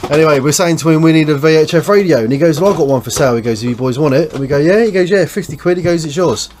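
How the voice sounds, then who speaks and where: A man's voice: fairly high pitch, very fast, a little mellow. An English man hosting a boat restoration vlog, chatting casually and explaining the job as he works in an informal, blokey way. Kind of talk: casual hands-on vlog chat. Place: on and around a small boat. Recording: background noise on location.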